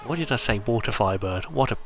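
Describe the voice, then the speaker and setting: A man's voice: on the low side, lively intonation, fast, background noise. A man giving live, chatty commentary on an online racing league, joking about the drivers and reading out chat messages. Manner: chatty, wry, reads chat aloud.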